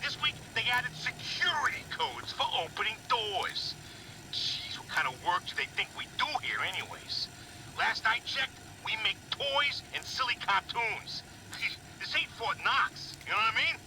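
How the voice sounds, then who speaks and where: Exasperated American worker, folksy, sarcastic, animated, video game character, noisy old recording. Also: male voice, measured pace. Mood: wry griping. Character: exasperated male toy-and-cartoon studio worker griping about security. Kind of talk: acted audio log in a horror video game. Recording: noisy, rumbling vintage audio log.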